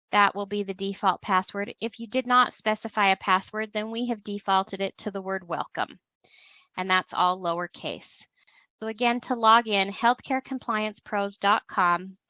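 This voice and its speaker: A woman's voice: medium pitch, dry recording. A woman giving an instructional webinar walkthrough, explaining things step by step as a trainer. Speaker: presenter, compliance software trainer. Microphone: telephone conference call. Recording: thin, narrow-band phone line.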